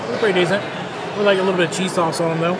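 A man giving a relaxed, chatty food review while he eats in a buffet restaurant. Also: high-pitched, very fast, very noisy. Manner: relaxed, chatty, thinks aloud between bites. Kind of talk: casual on-camera food review. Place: busy casino buffet restaurant indoors. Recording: loud crowd chatter and clatter around. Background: loud diners and dish clatter.

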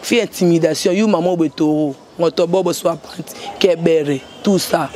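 A middle-aged Congolese woman ranting loudly and scolding into a handheld microphone outdoors. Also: lowish voice, normal speed. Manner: loud, scolding and animated, gesturing. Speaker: interviewee. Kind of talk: heated rant. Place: outdoors by a tiled wall. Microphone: handheld mic in front.

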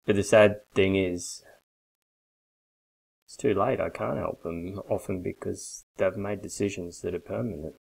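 A man in his forties giving a blunt, persuasive sales pitch straight to a webcam from a small bare office. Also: steady tone, unhurried, vocal fry, a little mellow, breathy edge, rough recording. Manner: blunt, persuasive, emphatic with hand gestures. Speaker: coffee business consultant. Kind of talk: direct-to-camera sales pitch. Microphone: webcam at desk.

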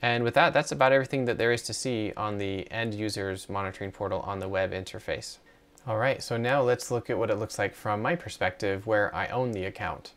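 A middle-aged man narrates an on-screen walkthrough. His voice sits on the low side. He talks casually and conversationally, with some hesitation as he explains things. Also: fairly quick, fairly bright tone. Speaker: tutorial presenter.

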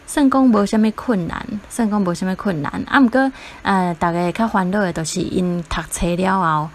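A woman's voice: medium pitch, moderate pace. A woman with a Taiwanese accent, sharing her experience as a parent in a casual interview.

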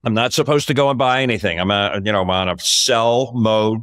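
An older man, a podcast host, telling a story in casual talk over a video call from a home office, his voice climbing when he gets excited. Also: fairly low pitch, brisk, no echo, studio quality.

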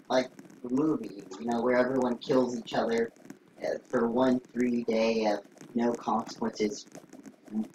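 A woman's voice: rumbling low, a little mellow. A woman chatting and explaining things casually while playing a game with friends. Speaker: gamer. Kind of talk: casual gameplay chat. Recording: roomy, distant mic, faint noise.

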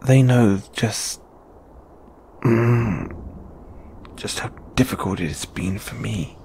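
A British man voicing a shy, flustered lamia flatmate in an intimate audio roleplay, with stammering, hesitant, sighing delivery. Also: lowish voice, takes it slowly, creaky, slight background noise. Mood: wistful, mumbling.

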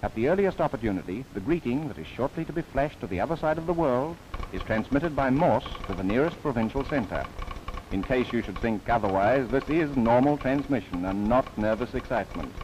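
A man's voice: mid-range voice, expressive, a little fast, darkish tone, a little hiss or hum, low-quality recording. A man narrating a scripted 1930s government documentary in formal, theatrical phrasing with wry asides, on an old film soundtrack.

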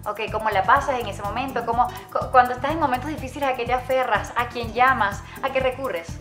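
A woman in her thirties hosting a celebrity interview indoors, bubbly and quick to chime in. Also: a little high, over music. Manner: bubbly, chimes in often with yay and wow. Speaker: host. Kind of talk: entertainment interview. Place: indoor lounge on a leather sofa.

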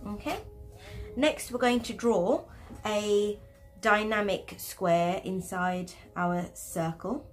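A woman's voice: mid-range voice, slow pace, soft background music. A woman talking viewers through drawing steps at her desk, chatty and self-deprecating. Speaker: art teacher. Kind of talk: step-by-step drawing tutorial.

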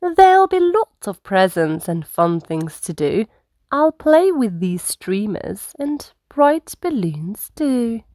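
A woman reading a children's picture book aloud as storytime host, with dramatic phrasing and drawn-out words. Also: medium pitch, a little mellow, no echo, studio quality.

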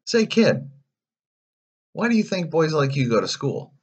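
A man's voice: fairly high pitch, sing-song, a little slow, slightly rough, pitched above the performer's own voice, heavily acted. Guy doing a pushy sly coachman selling kids on fun, super swoopy voice, slightly muffled audio. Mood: hailing, friendly bait. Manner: coaxing, salesman-like patter. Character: slick, pushy male coachman tempting children. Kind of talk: storybook villain voice.

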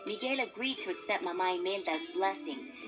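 A woman reading a children's storybook aloud as the storyteller, in an expressive scripted delivery. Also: mid-range voice, fairly quick. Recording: music behind the voice.

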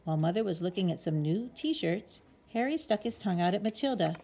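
A woman with a slightly husky voice reading a children's picture book expressively as a bedtime story. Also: medium pitch, expressive, brisk, mellow.

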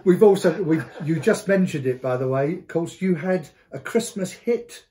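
An older British man in his seventies, his voice slightly high, chats casually as a co-host. He looks back on his career from a table in a small room, a little way from the camera. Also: lively intonation, normal speed, warm tone, slight background noise. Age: 70s. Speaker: co-host, veteran pantomime performer. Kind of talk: casual reminiscing chat. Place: small plain room indoors, seated at a table.

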